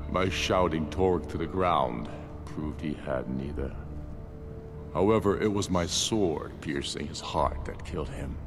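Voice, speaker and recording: An actor playing a proud, grave, battle-hardened middle-aged rebel leader in a fantasy video game, speaking in a solemn, measured, oratorical way. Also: male voice, a bass voice. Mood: stern, measured. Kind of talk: scripted video game dialogue. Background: background music.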